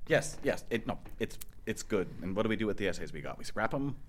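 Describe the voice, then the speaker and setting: A wry, bossy office guy whose voice rises and falls a lot as he gets swept up in excitement. A steady noise hangs behind the recording of this satirical audio drama. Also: on the low side, quick pace. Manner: wry, bossy, gets giddy and carried away. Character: Mark, man hooked on a virtual reality trip.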